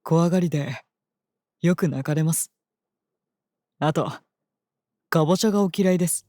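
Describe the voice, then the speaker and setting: An actor playing a calm, very polite holy knight and devoted royal bodyguard in a fantasy audio drama. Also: mid-range voice, normal speed, no echo, clean studio sound, lightly acted. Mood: fond, gentle. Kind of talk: Japanese audio drama acting.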